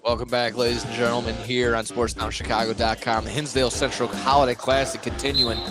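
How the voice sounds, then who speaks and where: A man's voice: mid-range voice, flat delivery, brisk, music in the background. A man doing live radio play-by-play of a basketball game as the announcer, courtside in a gym.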